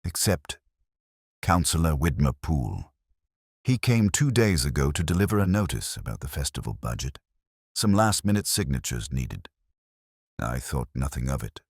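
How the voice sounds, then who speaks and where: A man voicing a nervous woman who hid a ledger, speaking low and hesitantly in a husky voice. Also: sing-song, normal speed, no echo, clean studio sound, exaggerated voice.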